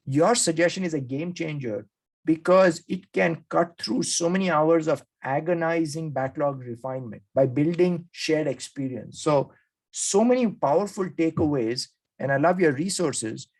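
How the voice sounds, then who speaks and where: Enthusiastic male podcast host, slightly high voice. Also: a little slow, darkish tone. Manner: enthusiastic, acts out quoted speakers. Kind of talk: conversational interview recap.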